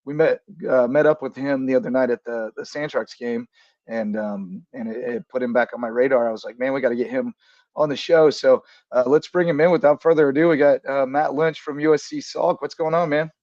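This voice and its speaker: A slightly raspy man with a drawl hosts a relaxed sports chat, easygoing and full of "uh"s. His mid-pitched voice sounds dry and a little dull. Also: brisk, dry recording. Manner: easygoing, rambling with many fillers. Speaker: podcast host. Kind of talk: casual hoops talk show.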